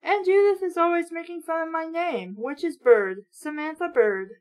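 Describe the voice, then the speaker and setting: A woman reads as an insecure twelve-year-old schoolgirl telling her own storybook tale. The voice is high, aggrieved and self-pitying. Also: moderate pace.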